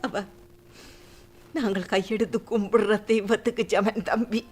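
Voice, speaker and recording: Radio play: actress as a sincere, grateful middle-aged aunt, mid-pitched and earnest. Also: female voice, level tone, unhurried, a little mellow, natural voice. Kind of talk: radio drama acting.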